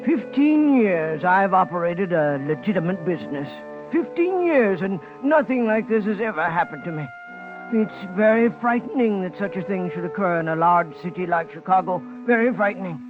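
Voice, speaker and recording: An actor playing a frightened, meek shopkeeper with nervous, pleading delivery in a 1950s radio crime drama, on a dull old recording. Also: male voice, sits high, expressive, normal speed, music in the background. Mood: shaken, indignant. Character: frightened, meek, law-abiding male pawnshop owner.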